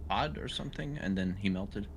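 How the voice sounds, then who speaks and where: A man's voice: lowish voice, lively intonation, brisk, creaky, a little hiss or hum. A man taking part in a tabletop roleplaying game as a player, in casual table talk.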